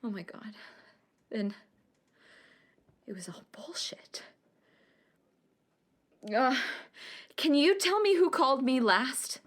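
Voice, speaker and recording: A woman's voice: high-pitched, sing-song, takes it slowly, pauses often, exaggerated voice. A woman playing a frightened, furious and defiant phone-call victim in a radio play. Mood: shaken.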